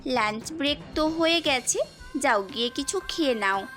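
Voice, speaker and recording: A woman's voice: sits high, animated, normal speed. A woman playing a caring, insistent university teacher in an audio story, with music underneath. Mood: concerned. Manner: concerned, gently bossy. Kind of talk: Bengali audio story acting.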